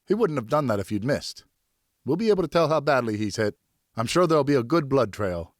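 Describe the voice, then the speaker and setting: A man voicing a seasoned hunter and father in an audiobook, steady, reassuring and encouraging as he instructs. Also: medium pitch, fairly quick, no room sound, clean studio sound, pitched above the performer's own voice, natural voice. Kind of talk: audiobook character acting.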